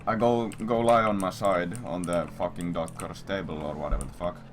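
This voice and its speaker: A man with a very deep voice rambles through a crude, sweary anecdote in a casual streamer style. The recording has clear background noise, with computer keyboard sounds behind him. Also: fairly even intonation, moderate pace. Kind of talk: casual storytelling to stream viewers.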